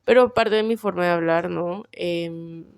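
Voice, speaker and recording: A woman's voice: lowish voice, a little slow, vocal fry, clear, resonant tone, dry recording, clean studio sound. A young woman with a Colombian Caribbean coast accent, chatting casually about herself and thinking aloud with frequent fillers. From Barranquilla, Colombia. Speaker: vlogger. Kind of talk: casual personal chat.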